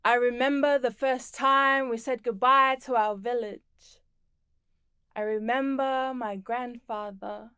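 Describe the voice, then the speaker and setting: A woman from the Torres Strait Islands and Tonga performs spoken word poetry in a slow, deliberate way. Her voice sits a little high and sounds smooth and crisp. The recording is close and dry. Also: fairly bright tone, no echo. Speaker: performing poet.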